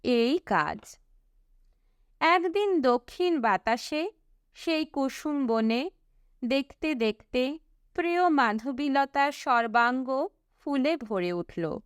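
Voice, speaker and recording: A woman reading a storybook as an audiobook narrator, with a measured, deliberate storytelling delivery. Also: sits high, expressive, clean tone, no room sound, studio quality.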